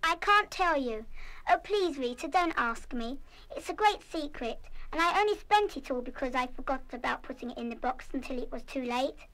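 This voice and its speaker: A young schoolgirl in a radio play. Her voice is very high and bright, and she speaks with earnest, wholehearted feeling, defiant yet kind-hearted and quick to show remorse. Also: expressive, rough recording. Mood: pleading, remorseful. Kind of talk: full-cast audio radio play acting.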